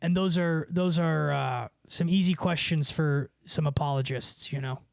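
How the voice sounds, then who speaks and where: A man's voice: fairly high pitch, normal speed, creaky, dry recording, clean studio sound. A man livestreaming, chatting casually with viewers in a rambling, profane, slangy way. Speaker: livestreamer and musician.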